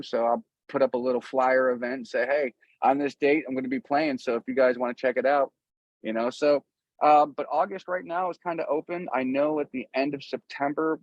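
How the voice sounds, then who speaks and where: A man talks casually as a guest musician in an interview, earnest and loose with plenty of slang. His pitch sits in the middle, and the recording is dull and dry, with little room sound. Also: dry recording.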